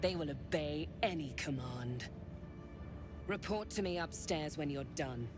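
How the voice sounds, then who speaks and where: Stern, formal cult commander. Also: female voice, deep voice, expressive, unhurried. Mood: cool, authoritative. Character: stern, imperious female cult commander, deferential to her lord. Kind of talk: video game character acting. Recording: game audio with loud music.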